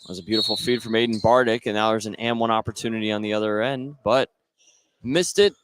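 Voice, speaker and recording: A man's voice: fairly low pitch, fast. An American man doing live play-by-play basketball commentary for radio. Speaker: play-by-play announcer. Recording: noisy gym broadcast. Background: bouncing basketballs and gym noise.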